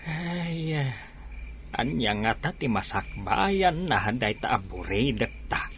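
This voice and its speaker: A man's voice: mid-range voice, very animated, takes it slowly, fairly bright tone, a little hiss or hum, full character voice. An actor playing a weary, worried middle-aged rural father in a radio drama, sighing and plaintive.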